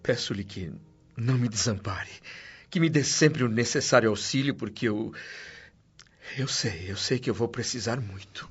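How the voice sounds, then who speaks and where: Man acting an anguished male spirit, Brazilian accent, medium pitch, dramatic radio narration, dull sound, soft music. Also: moderate pace, natural voice. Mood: grateful, pleading. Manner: sorrowful, emotive. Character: anguished dead father's spirit recounting his return home. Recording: muffled audio with music underneath.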